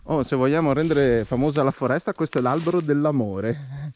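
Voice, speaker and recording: A man's voice: mid-range voice, brisk, a little mellow. A man giving casual, playful and encouraging commentary as he films and guides a walk outdoors. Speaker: hiking vlogger filming and guiding a walk. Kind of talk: casual trail commentary to viewers and companions. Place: outdoors on a mountain trail. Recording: clearly noisy outdoor handheld recording.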